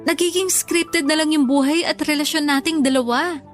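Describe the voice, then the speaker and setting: Actress as young Filipina girlfriend, hurt and earnest, high voice, radio drama with music underneath. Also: steady tone, normal speed, natural voice. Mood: hurt, pleading. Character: hurt, disillusioned young girlfriend, a content creator.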